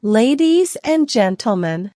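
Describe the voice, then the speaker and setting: A woman reading a scripted self-introduction slowly and clearly for learners to repeat. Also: fairly high pitch, sing-song, warm tone, no room sound, clean studio sound. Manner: clear, deliberate, evenly paced delivery. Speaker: English teacher reading a model speech. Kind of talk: slow scripted reading for repetition practice.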